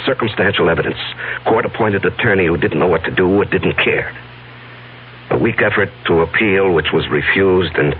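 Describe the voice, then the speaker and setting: Male, very low, halting and earnest: tormented man on the run, vintage radio drama on a narrow band. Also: a little hiss or hum. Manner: halting, earnest, heavy pauses. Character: anguished fugitive man confessing a hidden past to his fiancée. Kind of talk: 1970s radio drama acting.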